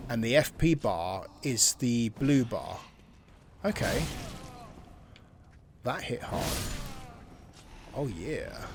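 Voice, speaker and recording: A man's voice: fairly low pitch, fairly bright tone, slight background noise. Man chatting over a game. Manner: chatty, thinks aloud, reads tutorial text. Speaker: gaming channel host. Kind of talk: casual gameplay commentary.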